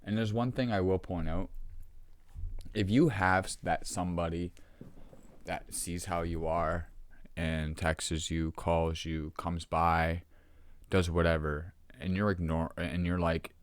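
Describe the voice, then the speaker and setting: Rough-throated man rambling to camera. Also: low voice, steady tone, no room sound. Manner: rambling, halting, many ums and restarts. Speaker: vlogger. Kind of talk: casual talk to camera.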